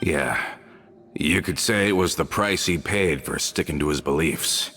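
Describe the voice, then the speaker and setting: A man's voice: very deep voice, measured pace, bright, forceful tone, slight background noise, full character voice. A man playing a loyal guild member in a game, plain-spoken and a bit hesitant.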